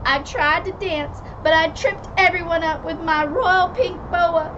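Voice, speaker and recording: A woman voicing an earnest little girl princess in a high, childlike, pleading voice. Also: measured pace, distant mic. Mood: upset. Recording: muffled, with loud background noise.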